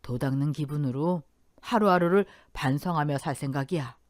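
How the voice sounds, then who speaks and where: A woman's voice: low-pitched, moderate pace, a little mellow, no echo, clean studio sound, voice pushed down, lightly acted. A woman voicing a remorseful, resigned old widowed father in radio story dialogue. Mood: wistful, resigned.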